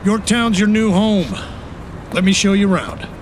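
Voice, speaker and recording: A man's voice: sits high, moderate pace, lightly acted. A man playing a courteous, authoritative senior navy admiral in a video game cutscene. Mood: formal, welcoming. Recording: noisy outdoor ambience.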